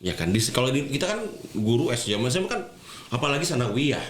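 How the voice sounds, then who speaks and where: A man's voice: medium pitch, sing-song, fast talker. A man, speaking as a podcast guest, explaining things conversationally and repeating phrases for emphasis. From Indonesia. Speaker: podcast guest, regional government official. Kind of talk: conversational interview storytelling. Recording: roomy echo, faint background noise.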